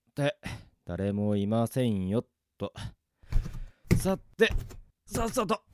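A man's voice: lowish voice, big pitch swings, slow pace, smooth, no room sound, studio quality, pitched below the performer's own voice. A man playing an overworked young office worker in an amateur radio drama, weary and muttering to himself.

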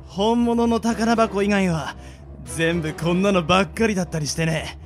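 A man's voice: sits high, normal speed, faint music behind, close to an everyday voice. A man playing a flippant, easygoing young adventurer with casual, teasing speech in a comedic radio drama. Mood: wry.

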